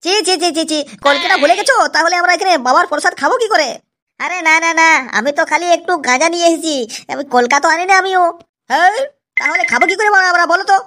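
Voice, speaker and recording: A cheeky young cartoon lad played in a high voice talks quickly to his viewers. He is chatty and excitable, repeating himself as he goes, in a mock-vlog comedy style. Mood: excited. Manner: chatty, repetitive, addressing viewers.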